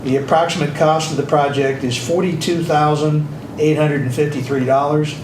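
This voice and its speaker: A man reading department reports at a city council meeting in a steady, plain way with filler pauses. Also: a little high, background noise. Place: council chamber. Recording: roomy council chamber mic from a distance.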